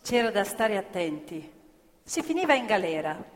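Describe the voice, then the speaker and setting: A woman narrating as a stage actor in radio theatre, deliberate and playfully emphatic. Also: mid-range voice, very animated. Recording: reverberant hall with live music behind.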